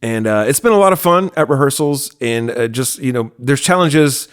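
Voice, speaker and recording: A man's voice: medium pitch, expressive, moderate pace, clean tone. An American man chatting casually as he hosts and introduces a podcast. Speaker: podcast host and producer.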